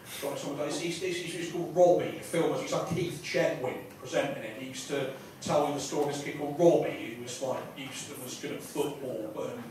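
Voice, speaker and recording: A man with an English accent doing rambling, sweary stand-up, halting and repeating himself as he digresses in front of a live audience. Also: mid-range voice, darkish tone. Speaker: stand-up comedian. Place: small live comedy venue in a pub. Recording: roomy, noisy, distant live club recording. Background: audience laughter and room noise.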